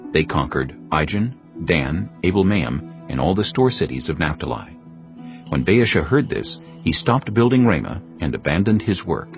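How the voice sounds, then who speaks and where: Very deep, expressive male scripture narrator. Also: moderate pace, vocal fry. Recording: dull lo-fi sound, music behind. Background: music.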